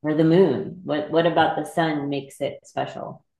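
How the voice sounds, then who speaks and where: A soft-spoken woman, a newcomer, asking a hesitant question from across a meeting hall. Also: sits low, steady tone, measured pace. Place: meeting hall, away from the mic. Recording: roomy hall echo.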